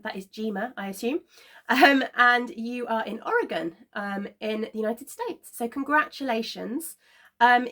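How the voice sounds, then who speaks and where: A woman in her thirties hosting a knitting podcast, chatting casually to camera from her sofa at home, slightly congested from a cold and filling pauses with frequent ums. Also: fairly high pitch, fairly bright tone. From Scotland. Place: living room at home, seated on a sofa.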